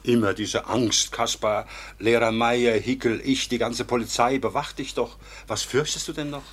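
An actor playing a kindly older court official in a German radio drama, husky, patient and gently admonishing in a measured paternal way. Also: male voice, medium pitch, steady tone, lightly acted. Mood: reassuring.

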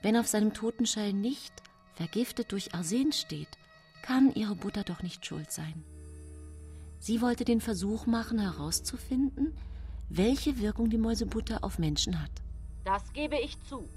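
An actress in a radio drama monologue, playing a widowed woman accused of poisoning who speaks deliberately and confidingly, calculating and justifying herself. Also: mid-range voice, lively intonation, dark tone, soft background music, lightly acted. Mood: self-justifying, defensive. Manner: confiding, deliberate, shifting between whisper and voice.

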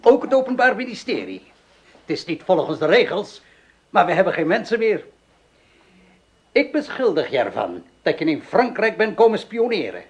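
A man's voice: high voice, big pitch swings, moderate pace, lo-fi sound. An actor playing a vengeful village man who stages a mock trial as its president, theatrical and menacing. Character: vengeful male village ringleader acting as judge. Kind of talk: Dutch radio drama acting.

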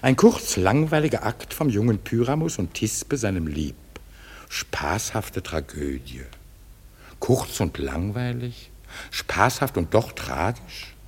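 A skeptical stately duke. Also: male voice, on the low side, big pitch swings, breathy edge, big-room sound, heavily acted. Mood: amused. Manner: measured, declamatory verse delivery. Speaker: Theseus. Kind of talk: classic radio play acting.

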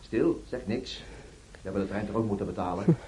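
Man, acted, low-pitched, curt, subdued, dismissive husband, radio play, slight hiss. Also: brisk, warm tone, natural voice. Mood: hushing, impatient. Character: curt, dismissive husband shushing his wife. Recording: faint hiss on old radio recording.

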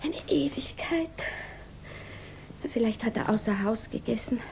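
An actress playing an anxious, lovesick young woman in a 1950s German radio drama, with a breathy voice that often drops to a whisper. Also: on the high side, expressive, unhurried, darkish tone, a little hiss or hum. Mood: restless despair.

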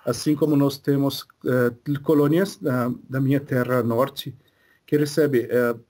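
A man speaking as a spirit guide in a religious session, slow and hesitant, often pausing to search for his words. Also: medium pitch, steady tone, a little mellow. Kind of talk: slow halting spiritual talk.

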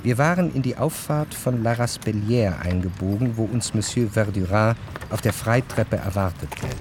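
A man playing a reflective, cultured narrator in a radio drama, speaking measured, articulate standard German. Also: lowish voice, over loud music, close to an everyday voice. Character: cultured first-person narrator, reflective society observer.

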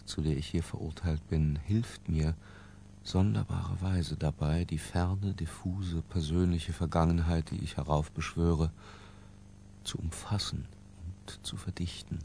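An actor playing an imprisoned man recalling an obsession in a hushed, lingering literary radio drama narration. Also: low voice, vocal fry, a little hiss or hum. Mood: sombre, reflective. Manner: hushed, lingering, sometimes falling to a whisper. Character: Humbert, imprisoned man confessing his obsessive boyhood love.

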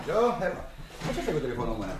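An actor playing a teasing, chummy male office colleague in a radio play, with a husky voice. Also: fairly high pitch, sing-song, background noise. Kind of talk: radio theatre acting.

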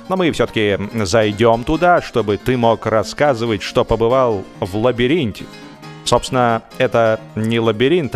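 A man's voice: medium pitch, natural voice. A male narrator voicing a cocksure, boastful man in an audiobook, emphatic and self-assured. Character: cocksure, blustering man bragging to companions. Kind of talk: audiobook character acting.

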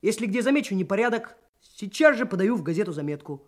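An actor playing a brash, self-assured young Komsomol trainee detective in a Soviet radio drama. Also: male voice, sits high, sing-song, fast talker. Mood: breezy, persuasive. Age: young adult. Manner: brash, confident, lecturing. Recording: 1980s radio drama, music behind.

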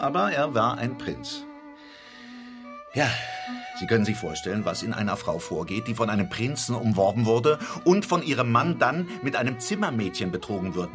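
An actor playing a self-assured, coolly logical detective who lays out a case in an articulate, measured radio drama style. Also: male voice, medium pitch, lightly acted. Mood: grave, pointed. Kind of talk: radio drama acting, explanatory monologue.

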